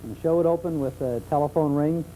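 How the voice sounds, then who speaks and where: A man's voice: a little high, fast talker, mellow, slight background noise, rough recording. An American man speaking as a guest expert on a talk show, telling anecdotes with enthusiasm. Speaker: guest expert on old-time radio. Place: television studio.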